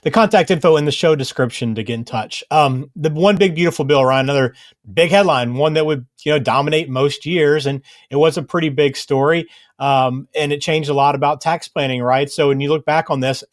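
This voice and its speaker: A man's voice: fairly high pitch, a little fast, no echo, clean studio sound. A male podcast co-host who reads transitions and puts questions to his guest. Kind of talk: scripted podcast segues.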